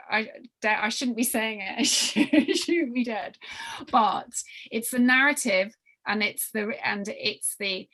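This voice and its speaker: A woman giving an informal briefing to a group as a workshop leader, halting and often repeating phrases for emphasis. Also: medium pitch, bright, forceful tone. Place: online group video call.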